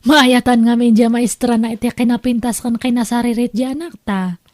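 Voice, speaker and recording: A woman's voice: a little high, fairly even intonation, normal speed, a little mellow, no room sound, studio quality, natural voice. A woman with a Filipino accent playing a struggling, patient wife and mother in an audio drama. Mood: earnest, patient. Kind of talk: Ilocano audio drama acting.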